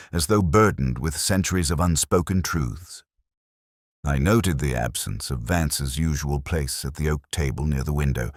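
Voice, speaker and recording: A man narrating a literary detective story in the first person, in a measured, formal and reflective manner. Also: very deep voice, no echo, studio quality.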